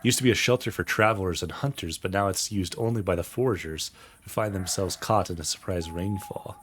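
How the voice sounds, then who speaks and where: A man's voice: low-pitched, fairly quick, a bit bright, a little hiss or hum. A man voicing a practical, blunt, teasing male hunter in a visual novel, speaking matter-of-factly. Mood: practical, confident. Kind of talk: visual novel character read aloud.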